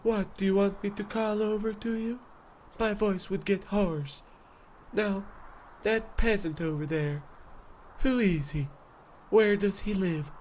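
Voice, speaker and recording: Slow, pompous king played by a man. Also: high voice, darkish tone, a little hiss or hum. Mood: commanding. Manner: pompous, pondering. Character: pompous, dim-witted, self-important good king. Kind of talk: comedy radio play acting.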